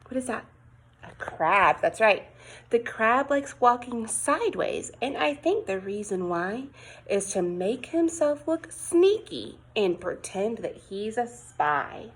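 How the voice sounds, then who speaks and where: A woman teacher reading a picture book aloud to young children, expressive and sing-song, coaxing with questions and exclamations. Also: a little high, slow pace, slightly rough.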